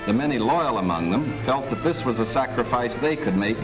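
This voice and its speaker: An American man reading scripted 1940s wartime documentary narration in a measured, formal manner. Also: mid-range voice, animated, poor audio. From United States. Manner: measured, formal, declarative delivery. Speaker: government film narrator. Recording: 1940s film soundtrack with music underneath. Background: music.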